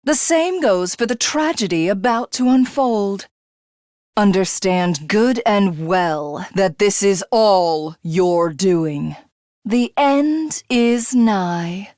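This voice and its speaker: Slow-talking, haughty villainess. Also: female voice, mid-range voice, lively intonation, a little mellow, no echo, studio quality. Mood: cold, accusing. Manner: pompous, formal, grandiose, condescending. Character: haughty female alien warlord craving godhood. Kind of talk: theatrical villain game voice acting.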